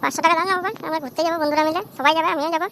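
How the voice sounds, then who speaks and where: A woman's voice: very high voice, fairly even intonation, rapid-fire, noisy background. A woman voicing a cheeky, scheming son in an amateur comedy cartoon, whiny and slangy. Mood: pleading. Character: cheeky scheming son nagging his father for money.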